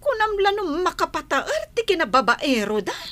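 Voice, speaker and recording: A woman's voice: extremely high pitch, wildly swooping pitch, normal speed, a bit bright, heavily acted. A woman playing a gossipy, disapproving but sympathetic village confidante in a radio comedy drama. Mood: teasing, sympathetic.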